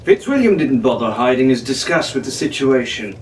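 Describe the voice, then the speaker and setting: A man's voice: mid-range voice, very animated, fairly quick. Man reading a pirate tale, roomy distant sound. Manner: theatrical, in character as a pirate captain. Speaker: storybook narrator. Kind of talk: scripted dramatic narration reading a children's pirate novel. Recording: roomy, distant mic with faint noise.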